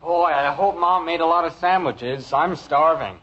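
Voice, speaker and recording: An American actor playing an eager, naive teenage son with boyish gee-whiz delivery in a 1950s radio sitcom. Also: male voice, fairly high pitch, normal speed, fairly bright tone. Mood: hungry, awkward. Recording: muffled, very noisy old radio recording.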